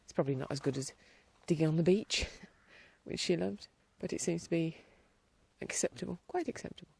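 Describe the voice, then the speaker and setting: Woman rambling in her garden, bit stuffy-nosed, gravelly voice, easygoing chat. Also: lowish voice, fairly quick, no room sound. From England. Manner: rambling, chatty, talks quietly at times. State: blocked-up nose. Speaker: podcast host. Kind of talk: casual chat. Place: garden outdoors.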